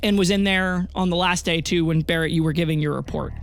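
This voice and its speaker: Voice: medium pitch, steady tone, a little fast. Game master telling a fantasy tabletop story, mid-range voice, music playing behind. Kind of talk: narrating an audio drama tabletop fantasy game. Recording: music under the voice. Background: background music.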